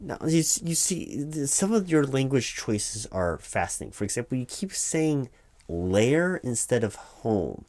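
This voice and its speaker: A man's voice: medium pitch, sing-song, a little slow, darkish tone, slight background noise. A man playing a flustered, polite corporate hiring manager in a comedic interview roleplay, stammering and hesitating as he goes, with keyboard typing. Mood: puzzled, wary. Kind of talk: one-sided comedic job interview audio roleplay. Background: computer keyboard typing, tapping.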